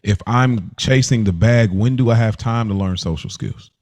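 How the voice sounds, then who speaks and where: A man's voice: lowish voice, normal speed, darkish tone. A man in a casual podcast chat as co-host, slangy and emphatic, fond of rhetorical questions.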